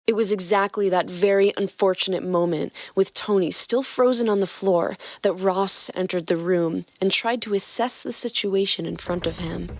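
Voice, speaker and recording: A woman narrating a fan fiction story in a steady reading voice. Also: medium pitch, moderate pace, few pauses, no room sound. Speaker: podcast co-host narrating fanfiction.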